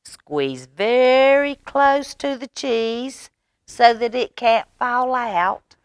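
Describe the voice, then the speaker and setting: A woman voicing a warm, playful storybook mother, folksy and gently teasing. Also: a little high, lively intonation, very slow, smooth, dry recording, voice pushed up. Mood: playful, loving. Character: warm, playful storybook mama, surprised and loving.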